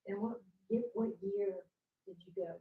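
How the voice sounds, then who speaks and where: A woman's voice: medium pitch, fairly even intonation, moderate pace. A woman hosting the meeting, breaking in with brief questions for the speaker. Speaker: host asking questions. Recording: dull, roomy, distant sound.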